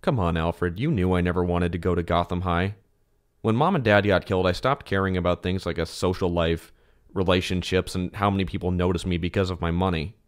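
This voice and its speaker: A man's voice: sits low, fairly quick, clean tone, natural voice. A man playing a reserved, polite, brooding rich teenage boy in an audio drama, hesitant and understated. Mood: weary, serious.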